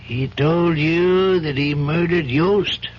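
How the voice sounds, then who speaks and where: A higher-voiced, reasoning older judge. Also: male voice, breathy edge. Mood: earnest, admonishing. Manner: measured, probing, paternal. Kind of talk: old-time radio drama acting. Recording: dull, faintly noisy old radio recording.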